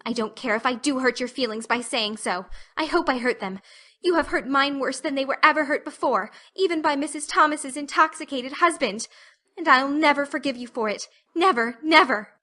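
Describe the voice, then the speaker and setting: A woman's voice: high-pitched, moderate pace, sharp tone, voice pushed up. A woman voicing a fiery, indignant young orphan girl with vehement delivery in a dramatized audiobook. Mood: indignant rage. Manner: vehement, emphatic.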